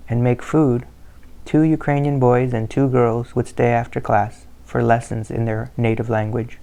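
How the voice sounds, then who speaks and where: A man reads a family history aloud in a steady, measured way. His voice stays flat and even and has a gravelly edge. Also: medium pitch. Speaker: narrator. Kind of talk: scripted narration of a family history.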